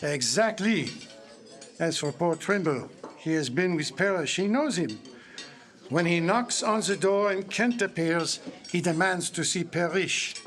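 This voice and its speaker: High-voiced, pompous Belgian detective. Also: male voice, a little slow, a bit bright. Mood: confident deduction. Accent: French-speaking Belgian, put on. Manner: precise, theatrical, self-satisfied explaining. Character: Belgian detective, courtly, pompous, confident man. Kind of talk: live radio-style mystery drama performance. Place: public library live stage before an audience.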